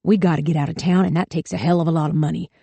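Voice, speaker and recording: Snarling gunman as a woman reads him, rough voice, loud, dry close sound. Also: low-pitched, steady tone, racing, dry recording, heavily acted. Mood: urgent. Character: violent rural male gunman, snarling.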